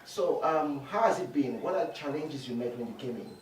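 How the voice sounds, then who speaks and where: A man's voice: mid-range voice, animated, moderate pace. A man hosting an interview, greeting viewers and asking questions in a formal, courteous way with frequent fillers. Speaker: interviewer host. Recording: distant roomy mic with faint noise.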